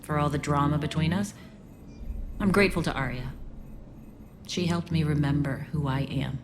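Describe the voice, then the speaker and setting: A low female voice for an earnest alien resistance leader in a video game. She is guarded and reflective, weighing her words with sincerity. Ambient noise is clearly heard behind her. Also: moderate pace. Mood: wistful, reflective.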